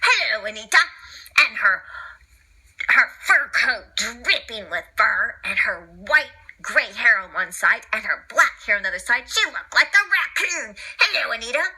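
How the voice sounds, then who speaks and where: A woman's voice: on the high side, very animated, measured pace, bright, forceful tone, slightly rough, low-quality recording. A woman reading a children's read-along story expressively, with informal asides and exclamations.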